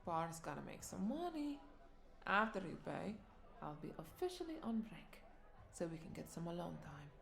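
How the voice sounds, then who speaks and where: Flirty, creaky-voiced vampire bartender played by a woman. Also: lowish voice, big pitch swings, normal speed, a bit bright, heavily acted. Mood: coaxing, seductive. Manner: flirtatious, teasing, breathy asides dropping into whispers. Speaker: audio roleplay voice performer. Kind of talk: intimate scripted audio roleplay.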